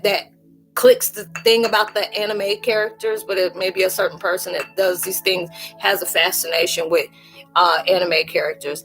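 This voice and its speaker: A woman hosting a casual spiritual chat in a rambling, animated way. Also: lowish voice, rough recording. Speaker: live stream host.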